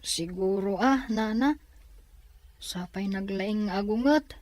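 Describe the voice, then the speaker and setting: A woman acts a subdued older orphan child in a family drama. The voice is mid-pitched and recorded very dry, with a hesitant, cautious, hurt delivery. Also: slow pace, darkish tone, no echo, close to an everyday voice. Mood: resigned. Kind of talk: Ilocano audio drama acting.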